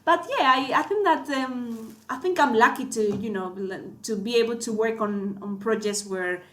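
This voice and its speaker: A woman's voice: a little high, moderate pace, fairly bright tone. A woman with a Spanish accent, a cinematographer guest chatting casually, full of fillers and restarts. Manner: hesitant, many fillers and restarts. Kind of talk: casual panel chat.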